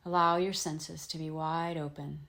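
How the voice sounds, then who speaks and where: A woman teaching yoga nidra, leading a guided meditation in gentle, soothing phrases with frequent pauses. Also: low voice, flat delivery. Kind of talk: guided meditation, slow instruction with long pauses.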